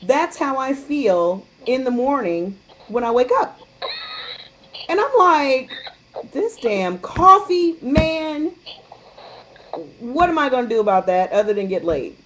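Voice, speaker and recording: A woman's voice: high voice, big pitch swings, takes it slowly, pauses often, slight background noise, poor audio. A woman speaking candidly in a casual call-in chat, telling stories and acting out what others said. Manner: candid, chatty storyteller who acts out quotes. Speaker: guest distributor sharing her experience.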